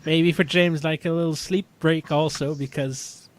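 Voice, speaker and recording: A man's voice: on the high side, fairly even intonation, a little slow, heavy background noise. A man co-hosting a podcast in casual chat, often stumbling and repeating words as he goes. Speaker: podcast co-host.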